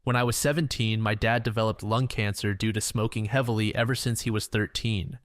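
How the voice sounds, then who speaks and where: A man's voice: fairly low pitch, steady tone, a little fast, no echo, studio quality. A man narrating online stories aloud in a plain, matter-of-fact way. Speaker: reddit story narrator.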